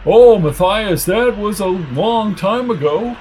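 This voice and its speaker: A man's voice: high voice, expressive, slow pace, darkish tone, slight background noise, voice pushed up. A man voicing a nervous, evasive older man with a quivering, cowardly manner in an audiobook. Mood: evasive, whining.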